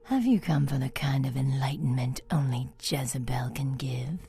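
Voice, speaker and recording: An actress playing a seductive, deranged vampire cult zealot in a video game, purring theatrically with predatory sweetness. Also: female voice, extremely low pitch, dark tone, a little hiss or hum. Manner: breathy, drawn-out, theatrical purring. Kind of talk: video game villain dialogue. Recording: music behind the voice.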